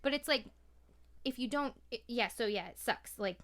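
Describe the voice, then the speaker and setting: Clear, higher-voiced woman in casual chat. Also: normal speed, fairly bright tone. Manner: informal, swears freely. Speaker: podcast guest.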